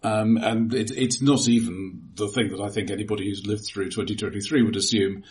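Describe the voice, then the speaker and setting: A man co-hosting a casual film discussion, explaining in a halting way with repeated words. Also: on the low side, steady tone, a little mellow. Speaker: film discussion podcast co-host.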